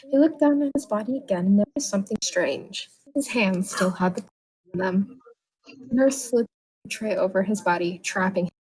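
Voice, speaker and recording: Woman, medium pitch, dramatic narrator of short horror-comedy bedtime stories. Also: a little mellow, poor audio. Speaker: storyteller reading a written tale aloud.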